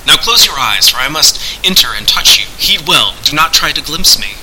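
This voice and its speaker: A man's voice: fairly high pitch, very animated, normal speed, pushed and bright, slight background noise, pitched above the performer's own voice, exaggerated voice, poor audio. A man voicing a commanding, courteous unseen sorcerer who speaks in formal, declamatory archaic phrases. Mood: instructing.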